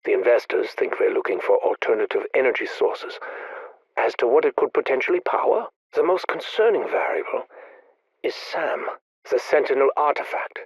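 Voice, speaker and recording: An actor playing a secretive, obsessive scientist, formal and measured, muttering a research log in a video game. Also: male voice, medium pitch, lively intonation, slightly rough, dry recording, lo-fi sound. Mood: secretive musing. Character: brooding, secretive, obsessive scientist recording private research logs.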